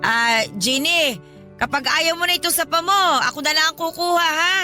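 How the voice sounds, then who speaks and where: A high-pitched, demanding Filipina godmother. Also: moderate pace, pushed and bright, heavily acted. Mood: wheedling, demanding. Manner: chatty, nagging, theatrically pleading. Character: demanding, wheedling older godmother guilt-tripping her goddaughter. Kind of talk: Tagalog radio drama acting. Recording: music behind the voice.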